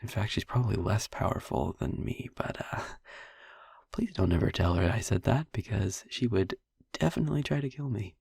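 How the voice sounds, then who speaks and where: A man playing a young vampire boyfriend in an intimate audio roleplay, softly confiding in a breathy, husky near-whisper with halting pauses and wry asides. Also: on the low side, no room sound, studio quality, heavily acted.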